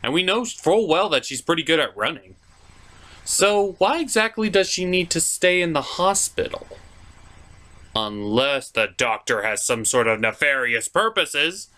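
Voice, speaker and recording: A man's voice: on the high side, big pitch swings, measured pace, pushed and bright. A man hosting a puppet review show, narrating a scripted comedic cartoon review in a theatrical, mock-exasperated manner.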